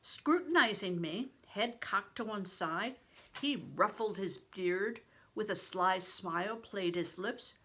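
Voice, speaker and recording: A woman's voice: mid-range voice, takes it slowly, a bit bright. An older woman, a retired reading teacher, narrating a storybook expressively and with a teacherly style.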